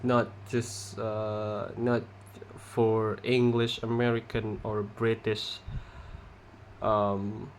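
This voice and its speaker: A young man from Indonesia, a non-native English speaker with an Indonesian accent, giving a halting class presentation with many pauses and restarts. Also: fairly low pitch, little pitch movement, slight background noise. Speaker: student presenter.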